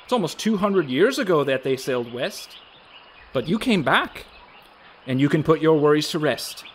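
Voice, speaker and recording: A man plays an enigmatic fairy keeper of the woods in a radio drama. His voice sits fairly high for a man and moves with an unhurried, gentle lilt. Faint birdsong surrounds him. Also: very animated, slight background noise. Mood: gently persuasive. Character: mysterious old male fairy guardian of an Irish forest, gentle, cryptic. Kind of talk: radio play acting. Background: birdsong, woodland ambience.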